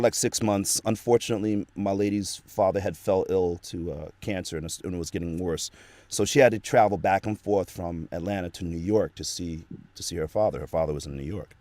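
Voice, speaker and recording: A man's voice: deep voice, darkish tone, dry recording. A man telling his story in a casual interview, earnest and rambling with lots of fillers, into a home studio microphone. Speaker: guest, actor and voice actor.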